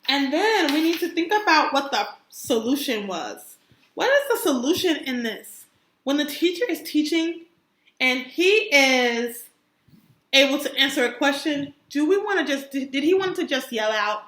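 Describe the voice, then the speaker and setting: A woman kindergarten teacher reading aloud to young children in an expressive, encouraging way, stopping to ask them questions. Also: high voice, a little slow. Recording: roomy, echoey sound.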